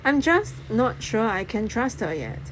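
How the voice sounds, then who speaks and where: A woman's voice: fairly high pitch, big pitch swings, a little slow, slight background noise, rough recording. A woman reading a first-person story aloud, expressively and dramatically. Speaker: audiobook reader.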